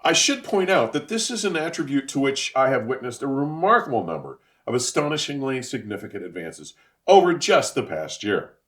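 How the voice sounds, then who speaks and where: A man narrating a scripted equipment review in an emphatic, florid manner, with deliberate enunciation. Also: mid-range voice, big pitch swings, a bit bright.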